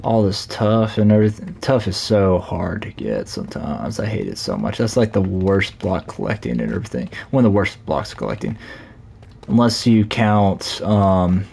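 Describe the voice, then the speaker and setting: A man live-streaming a Minecraft session, chatting casually in a scratchy, slightly hoarse voice while he plays. He rambles, corrects himself and leans on fillers. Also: on the low side, sing-song, moderate pace, a little mellow. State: hoarse from talking a lot.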